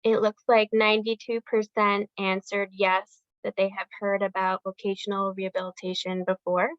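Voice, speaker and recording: Woman, moderator, flat monotone, dull dry call audio. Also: medium pitch, normal speed, no room sound. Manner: matter-of-fact, reading out results. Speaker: panel moderator. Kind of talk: webinar moderation. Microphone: video call.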